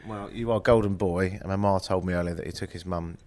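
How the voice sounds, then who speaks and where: A man's voice: low voice, steady tone, quick pace, darkish tone. A man hosting a casual interview, congested from a cold, who asks chatty, rambling questions. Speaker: podcast host.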